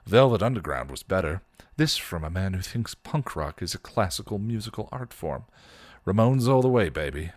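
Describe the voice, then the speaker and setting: A man's voice: deep voice, sing-song, normal speed, hardly any pauses, no echo. A man voicing a sardonic, grieving male punk vampire, slangy and weary in a fan fiction reading. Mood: teasing.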